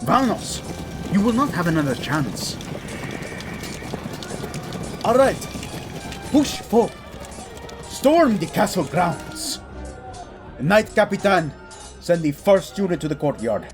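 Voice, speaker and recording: An actor playing a commanding young prince leading an army in an audio drama, urgent and forceful, dropping in Spanish words. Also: male voice, sits high, big pitch swings, takes it slowly, long pauses, music in the background, heavily acted. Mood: urgent battle command. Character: commanding, battle-hardened young Spanish-speaking prince turned war leader.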